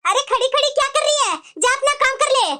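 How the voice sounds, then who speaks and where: A woman's voice: very high-pitched, expressive, brisk, pushed and bright, exaggerated voice. A woman voicing a bitter, domineering middle-aged mother-in-law who grumbles and scolds dramatically in a family cartoon. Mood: scolding, bossy. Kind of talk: Hindi family cartoon dialogue.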